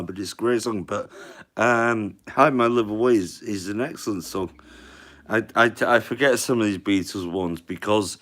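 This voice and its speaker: A man hosting a casual live stream in a monotone voice, with many trailing fillers. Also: lowish voice. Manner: rolling a cigarette while talking. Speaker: livestream host.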